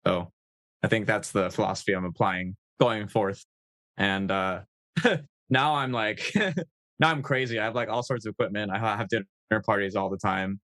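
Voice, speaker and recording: A man, a guest on a podcast, chatting in a relaxed, easygoing way with many fillers. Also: fairly low pitch, normal speed, no room sound. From United States. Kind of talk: casual conversational interview.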